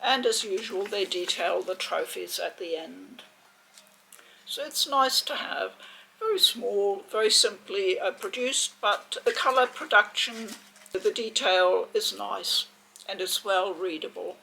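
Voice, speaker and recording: A woman giving a casual, chatty unboxing commentary in a measured, hesitant way, with a creaky voice. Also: mid-range voice, sharp tone, low-quality recording. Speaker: video game unboxing host. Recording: faint background noise.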